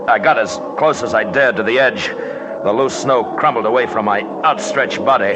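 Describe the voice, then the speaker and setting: An actor playing a stubborn, haunted mountaineer in an old radio drama, speaking gravely and deliberately in clipped phrases. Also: male voice, lowish voice, highs cut off, natural voice. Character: stubborn, haunted mountaineer narrating his expedition, tough man. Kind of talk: 1940s-50s radio drama narration. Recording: noisy old radio recording.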